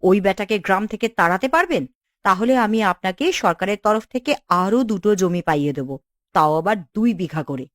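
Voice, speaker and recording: A woman's voice: medium pitch, lively intonation, brisk, no room sound, clean studio sound, lightly acted. A woman voicing a scheming, bossy government official in a cartoon, commanding and conspiratorial. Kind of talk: cartoon dialogue.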